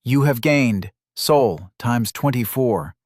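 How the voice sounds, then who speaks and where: A man voicing the flat game system that announces gains and losses in a measured way. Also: medium pitch, no room sound, studio quality. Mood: announcing.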